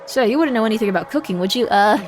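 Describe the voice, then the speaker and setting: A woman's voice: on the high side, expressive, rapid-fire, natural voice. A woman voicing a chatty, hungry farmhouse character from a horror farming game, with polite, quaintly formal talk. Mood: cheerful, polite. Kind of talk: game dialogue read aloud in character. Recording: game music behind.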